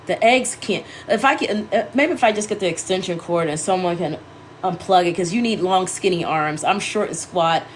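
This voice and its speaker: A congested, scratchy-throated woman hosting a casual livestream, rambling and correcting herself as she talks to viewers. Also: fairly low pitch. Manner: meandering, self-correcting, talking to viewers. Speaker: livestream host.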